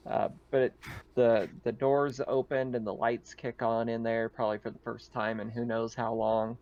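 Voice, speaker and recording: A man's voice: medium pitch, little pitch movement, normal speed, a little mellow, dull audio. A man improvising casual, informal narration as game master of a tabletop roleplaying game, with some swearing.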